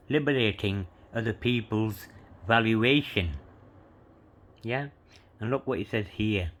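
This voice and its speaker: Slow, rambling man showing coins. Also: fairly low pitch, a bit bright. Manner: meandering, full of "you know" and "yeah", reads inscriptions letter by letter. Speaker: coin collector showing coins. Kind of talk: rambling show-and-tell to camera.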